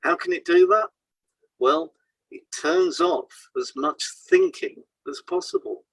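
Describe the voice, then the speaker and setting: A man's voice: high voice, wildly swooping pitch, measured pace, gravelly, a bit bright, no echo, poor audio. A man co-hosting an educational podcast, reading scripted explanations and stressing key points. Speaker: podcast co-host, hypnotherapist.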